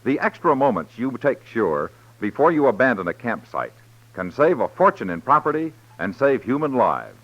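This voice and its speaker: A dull vintage broadcast of a male radio announcer with a medium-pitched voice. His scripted delivery is formal and emphatic, with widely swinging intonation. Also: sing-song, normal speed. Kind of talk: scripted 1950s radio public-service announcement.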